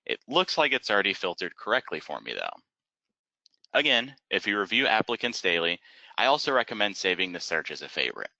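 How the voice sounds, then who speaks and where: A man narrating an instructional webinar as a software trainer, explaining methodically step by step in a close, dry, slightly dull recording. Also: on the low side, sing-song, brisk, no echo.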